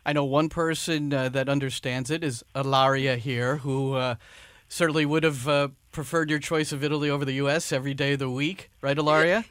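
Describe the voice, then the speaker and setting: A man's voice: mid-range voice, fairly even intonation, a little fast, fairly bright tone, smooth, no echo. A man hosting an interview, asking questions in a conversational, lightly teasing way. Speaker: interview host. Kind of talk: radio interview.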